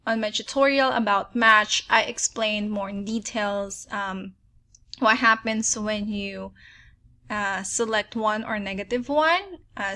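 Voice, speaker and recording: A woman's voice: mid-range voice, sharp tone. A woman with a Filipino accent walking viewers through spreadsheet formulas step by step, often checking in with "okay". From Philippines. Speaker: spreadsheet tutorial host. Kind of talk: screen-recorded software tutorial.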